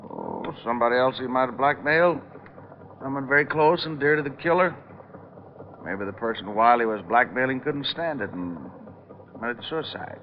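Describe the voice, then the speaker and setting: An American actor playing a wry, watchful private detective who probes with casual small talk in vintage radio drama style. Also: male voice, medium pitch, normal speed, close to an everyday voice. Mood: wary needling. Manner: hesitant, probing small talk. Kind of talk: 1940s-50s American radio drama acting. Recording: muffled, noisy old radio recording.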